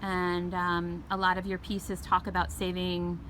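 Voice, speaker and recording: A woman's voice: lowish voice, completely flat delivery, a little slow, fairly bright tone, low-quality recording. Earnest young woman interviewing on street. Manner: earnest, gushing, many fillers. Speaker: interviewer, host. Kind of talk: casual interview. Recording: clear street and vehicle noise.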